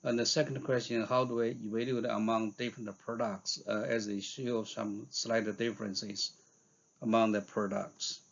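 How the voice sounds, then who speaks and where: A man giving a scientific conference talk in a halting way, with frequent hesitations and fillers. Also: fairly low pitch, level tone, gravelly, muffled recording. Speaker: researcher giving invited talk.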